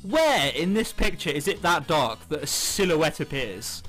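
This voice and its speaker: A man doing let's play commentary, reading visual novel text aloud in an excitable, giggly way with exaggerated reactions. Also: a little high, measured pace. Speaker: video game commentator. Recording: background music under the voice. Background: game music.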